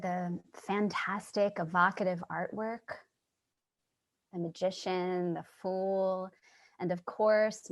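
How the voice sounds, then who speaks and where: A woman acting as a dreamy, wistful drifter in a stage play reading. She speaks slowly at a medium pitch, earnest and effusive, lingering on each phrase. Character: wistful, dreamy young homeless woman named Charlie. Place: remote reading over video call.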